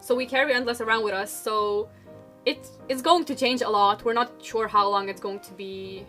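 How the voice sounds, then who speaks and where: A woman's voice: medium pitch, normal speed, bright, forceful tone, soft background music. A young woman whose first language is Lithuanian, chatting casually and upbeat in a group podcast. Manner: chatty, upbeat, uses "like" a lot. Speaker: dance group member.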